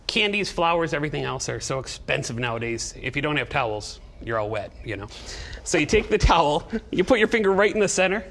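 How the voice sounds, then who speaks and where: A man in his forties with a fairly high-pitched voice. He talks casually through a hands-on demonstration, giving simple steps and slipping in little jokes. It sounds like a TV studio segment. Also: sing-song, fast, creaky, fairly bright tone. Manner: chatty, joking, step-by-step instructing. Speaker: guest towel-folding demonstrator and seller.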